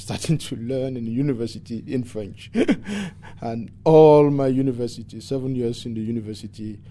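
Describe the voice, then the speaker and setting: Mellow-voiced Cameroonian man, noisy recording. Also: mid-range voice. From English-speaking Cameroon. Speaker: environmental activist and interviewee. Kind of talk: conversational interview answers.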